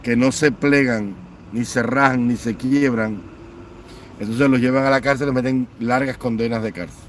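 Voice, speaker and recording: Man, angry political rant, mid-pitched, faint noise behind, repetitive scornful listing. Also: fairly even intonation, normal speed. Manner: fiery, repetitive listing, mocking. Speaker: political commentator.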